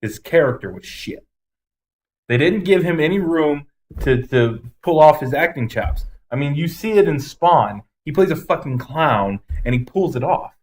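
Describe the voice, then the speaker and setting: A man's voice: a little high, animated, normal speed. Casual young male podcast co-host. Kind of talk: casual opinionated banter.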